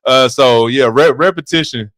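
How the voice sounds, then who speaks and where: Earnest deep-voiced male podcast co-host. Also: normal speed, fairly bright tone, no echo, studio quality. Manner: earnest, repeats himself, stumbles on words. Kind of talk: casual podcast chat.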